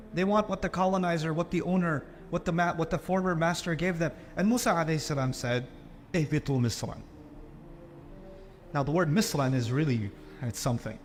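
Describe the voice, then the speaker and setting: Animated, high-voiced male Islamic lecturer. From Pakistan. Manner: animated, with mocking quoted voices. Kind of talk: lecture to a live audience.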